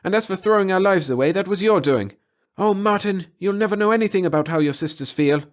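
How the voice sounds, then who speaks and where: Man voicing a scornful, vengeful woman, pitched high. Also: fast, close to an everyday voice. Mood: bitter. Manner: scornful, impassioned. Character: bitter, vengeful gaunt older sister, spitting with passion. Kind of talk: dramatic audiobook character reading.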